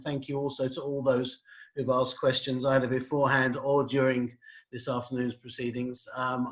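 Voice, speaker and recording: A man hosting an online event, giving polite, hesitant closing thanks with many ums. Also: mid-range voice. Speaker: host, rabbi. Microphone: video call. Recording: dull lo-fi video call audio.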